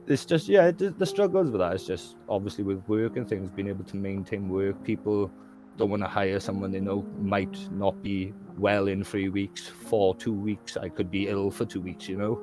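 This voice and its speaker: A man's voice: fairly low pitch, normal speed, music in the background. A man from Wales with a Welsh accent, a guest talking candidly in casual conversation, rambling and often asking "do you know what I mean".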